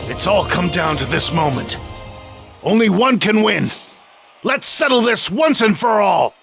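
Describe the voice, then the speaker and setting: Stern anime fighter, confident rival, gravelly, forceful bright edge, formal delivery. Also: male voice, high-pitched, sing-song, soft background music, exaggerated voice. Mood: fierce, determined. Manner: measured, formal, self-assured. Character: proud male martial arts rival, stern and confident fighter. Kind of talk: anime video game voice acting.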